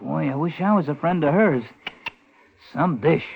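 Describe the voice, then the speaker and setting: A man's voice: a little high, normal speed, gravelly. An actor playing a chatty, cocky, star-struck young hotel elevator boy in a 1950s radio drama, slangy and rambling. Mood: chatty, boastful. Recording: noisy tinny 1950s radio recording.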